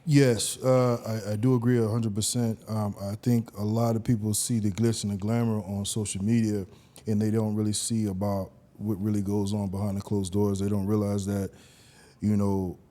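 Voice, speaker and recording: A man hosting a podcast, talking in a relaxed, conversational way with lots of "you know"s. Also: fairly low pitch, moderate pace. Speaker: podcast host.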